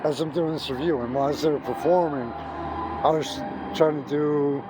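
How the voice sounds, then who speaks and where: A hoarse middle-aged man giving an emphatic, gushing music review, recorded outdoors on a city street at night, restarting his sentences often. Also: on the high side, steady tone, normal speed. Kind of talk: off-the-cuff video review. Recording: street noise and traffic behind.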